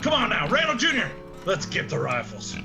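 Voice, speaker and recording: A man's voice: high voice, huge pitch swings, a little fast, creaky, over music, heavily acted. A man playing a hot-headed young mountain brother with a Southern US accent in a radio drama. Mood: angry, impatient.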